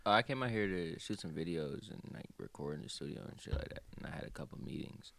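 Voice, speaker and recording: A young man from Jamaica, a guest rapper, talking casually in an interview and mumbling off quietly at the ends of phrases. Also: low-pitched, expressive, fast, creaky. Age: young adult. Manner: laid-back mumbling, trails off quietly.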